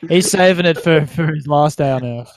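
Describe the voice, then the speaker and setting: A man's voice: on the high side, moderate pace, dry recording. A young man, casually putting questions to a group in a playful, teasing way. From Australia.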